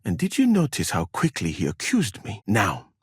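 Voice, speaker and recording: A man playing a courteous, learned foreign physician in a medieval video game, speaking in measured, formal phrases with calm thoughtfulness. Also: lowish voice, husky, no room sound, studio quality, full character voice.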